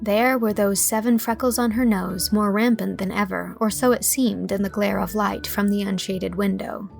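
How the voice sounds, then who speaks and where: Slightly creaky woman narrating a classic novel. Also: mid-range voice, fairly even intonation, normal speed, faint music behind. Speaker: audiobook narrator. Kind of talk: scripted literary narration.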